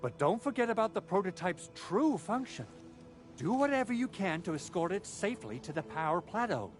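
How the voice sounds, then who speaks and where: An actor playing an eager, earnest male engineer in a video game, explaining things in an animated way, with music behind him. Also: high-pitched, moderate pace. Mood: instructive, earnest.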